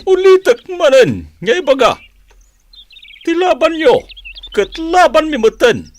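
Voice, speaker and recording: A woman's voice: high-pitched, very animated. A woman playing a stern, defiant fighter in a radio drama, speaking emphatically. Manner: slow, emphatic. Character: defiant, stern fighter urging battle and patience.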